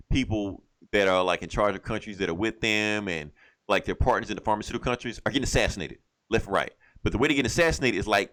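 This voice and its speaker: Low voiced guy on a podcast, rambly slangy recap, swears a bit, super casual. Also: a bit bright. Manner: rambling, slangy, acts out lines in passing. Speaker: podcast co-host reviewing comic books. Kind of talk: casual, profane storytelling recap.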